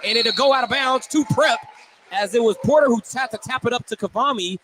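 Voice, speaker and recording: A man's voice: very high-pitched, a little fast, sharp tone, soft background music. A man calling live basketball play-by-play for radio from a noisy indoor gym. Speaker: play-by-play announcer. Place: indoor school gymnasium. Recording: noisy gym ambience, bouncing balls.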